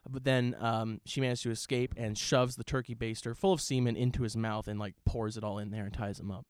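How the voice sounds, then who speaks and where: A man's voice: medium pitch, level tone, brisk, smooth, no echo. A young adult man in casual, bantering conversation as a podcast co-host. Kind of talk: casual banter and film chat.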